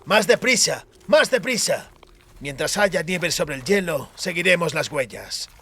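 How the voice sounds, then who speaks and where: A man's voice: on the high side, expressive, a little slow, slight background noise, pitched above the performer's own voice. A man voicing a furious, impatient villain who barks brusque orders in a fantasy audiobook. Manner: shouting, brusque.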